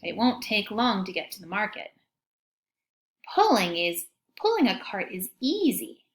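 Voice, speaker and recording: A woman teacher reading a picture book aloud to children in an expressive way, pausing to ask them questions. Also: mid-range voice. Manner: expressive, child-directed, often asking questions.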